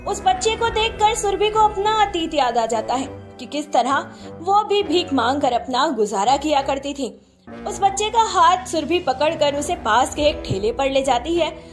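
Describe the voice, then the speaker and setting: A woman reads a scripted cartoon story as its narrator. Her voice is high-pitched, and faint music plays underneath.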